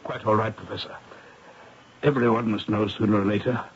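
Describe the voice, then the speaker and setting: An actor playing a courteous, shaken titled gentleman in a 1970s radio drama, speaking in a subdued, formal, hushed way. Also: on the low side, fairly even intonation, brisk. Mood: shocked, grave. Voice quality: husky, hushed. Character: courteous, shaken gentleman knight, a dead man's uncle. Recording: muffled old radio broadcast.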